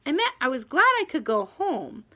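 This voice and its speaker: A woman voicing an impulsive, outspoken seventh-grade girl in a children's audiobook, expressive and emphatic. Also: high voice, moderate pace, pitched above the performer's own voice, exaggerated voice. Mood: apologetic.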